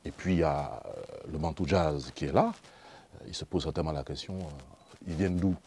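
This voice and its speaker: A man with a Gabonese French accent, hosting a TV studio interview, asking relaxed questions full of fillers. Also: very deep voice, moderate pace, husky. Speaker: TV host.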